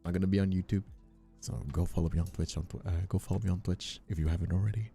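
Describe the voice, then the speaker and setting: A man's voice: deep voice, fairly even intonation, quick pace, creaky, mellow, faint music behind. A man chatting casually on a livestream as a streamer and voice actor.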